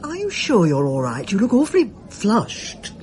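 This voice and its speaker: A woman's voice: mid-range voice, wildly swooping pitch, normal speed, darkish tone, a little hiss or hum. An actress playing a concerned, inquisitive older woman friend in a radio drama.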